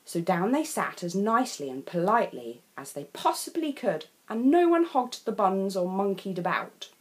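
A woman reading a children's storybook expressively, leaning on key words with deliberate emphasis. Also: medium pitch, big pitch swings. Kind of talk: scripted storybook narration for children.

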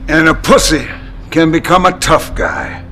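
Menacing older boss, slow, measured, preachy, score under. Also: male voice, fairly high pitch, huge pitch swings, pushed and bright, faint music behind, exaggerated voice. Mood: lecturing. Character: cold menacing older male crime boss. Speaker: crime boss. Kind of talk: film dialogue. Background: film score.

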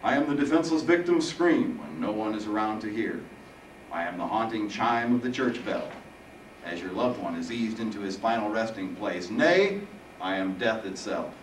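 A man's voice: fairly low pitch, expressive, moderate pace, lightly acted. A man voicing a mocking, theatrical Grim Reaper with a phlegmy voice and dramatic delivery in a storybook reading. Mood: grandiose. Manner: grandiose, dramatic. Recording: roomy, distant mic, faint noise.

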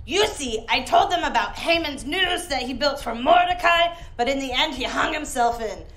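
A woman's voice: high-pitched, expressive, normal speed, fairly bright tone. An actress playing a chatty, eccentric mother who rambles with dramatic self-pity in an audio drama. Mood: gushing. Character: chatty, eccentric, guilt-tripping mother held captive. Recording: clear background noise.

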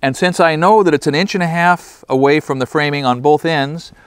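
Older carpenter explaining on a job site. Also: male voice, on the high side, brisk, clean tone, no echo. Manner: plainspoken, practical. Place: outdoor construction site.

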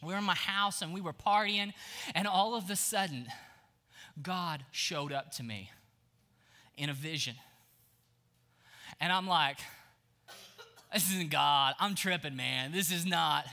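A man in his thirties preaching an energetic sermon on a church stage, swinging from hushed lines to shouts. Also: on the high side, unhurried, creaky, pauses often. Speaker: pastor.

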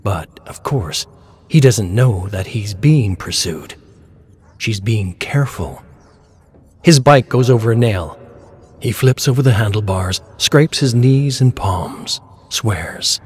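A man with a fairly low voice narrates game lore very slowly. The delivery is scripted and dramatic, told deliberately with pauses for effect. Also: animated, darkish tone, slightly rough, soft background music.

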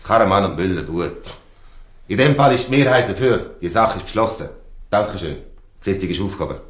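Actor as a deep-voiced flustered Swiss mayor. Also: male voice, lively intonation, a little fast. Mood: official, resolved. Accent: Swiss German. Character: flustered small-town mayor, pompous but cornered. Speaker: actor in a radio play. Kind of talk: Swiss dialect radio drama acting. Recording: roomy echo.

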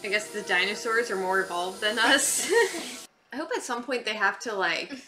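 A woman's voice: medium pitch, animated, normal speed, rough recording. A woman in her thirties chatting casually and incredulously as she reacts to a film. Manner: incredulous, exclamatory. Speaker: host of a film reaction video. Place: small living room, on a couch.

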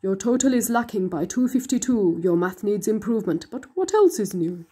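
A woman voicing a sly, mocking male math monster with a snarling, drawn-out delivery, a little away from the mic. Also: medium pitch, lively intonation, dark tone, breathy edge.

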